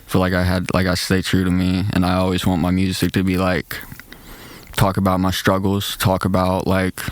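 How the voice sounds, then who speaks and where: Young man with a nasal low voice. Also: completely flat delivery, normal speed, dry recording. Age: young adult. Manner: loose slangy talk full of "like" and "man". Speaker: rapper and producer guest. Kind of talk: casual interview chat.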